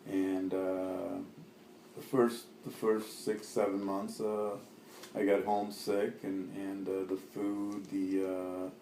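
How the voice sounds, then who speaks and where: 低沉平稳的男声，说话断断续续. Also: male voice, low-pitched, monotone, mellow, reverberant, slight background noise. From Lebanon. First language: Arabic. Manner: halting, many fillers and restarts. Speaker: interviewee. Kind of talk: oral history interview.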